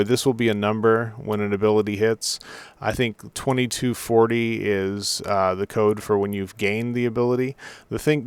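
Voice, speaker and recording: Slightly hoarse low-voiced man explaining software. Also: no echo. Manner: halting technical explanation, circling back mid-sentence. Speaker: game add-on developer. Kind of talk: screen-recorded software walkthrough.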